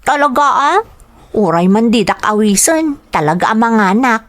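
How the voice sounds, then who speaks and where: A woman's voice: fairly high pitch, expressive, normal speed, close to an everyday voice. A woman playing a sheltered, obedient young student from a rich family, with an Ilocano Filipino accent, in an audio drama. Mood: eager, wistful.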